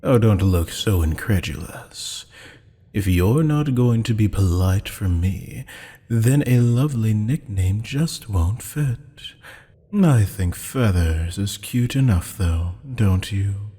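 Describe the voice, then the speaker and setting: A man's voice: lowish voice, expressive, slow, warm tone, slightly rough, heavily acted. A man playing a teasing, flirtatious, menacing demon captor in an ASMR roleplay, purring pet names and slipping into half-whispers. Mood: teasing, amused. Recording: long hall-like reverb.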